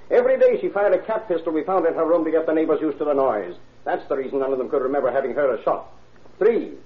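An actor playing an earnest prosecutor summing up to a jury in methodical, formal oratory, in 1930s radio style. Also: male voice, a little high, phone-quality sound, natural voice. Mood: emphatic, accusing. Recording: band-limited old radio broadcast.

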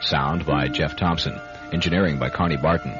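Deep-voiced male radio announcer over music. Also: steady tone, moderate pace, lo-fi sound. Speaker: announcer and host.

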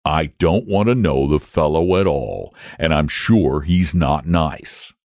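A man voicing a lazy, gentle and cowardly male dragon who speaks in a languid, solemn drawl in a storybook. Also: a bass voice, expressive, slow pace, no room sound, studio quality, pitched below the performer's own voice, exaggerated voice. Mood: dismayed.

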